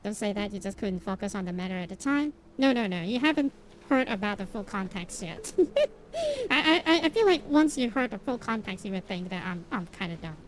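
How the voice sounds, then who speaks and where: A woman's voice: medium pitch, lively intonation, a little fast. Woman streamer, non-native english, rambling with fillers, self-deprecating, faint noise behind. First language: not English. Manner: rambling, many fillers and repeated restarts; self-deprecating, laughs at herself. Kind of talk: casual chat with stream viewers while gaming.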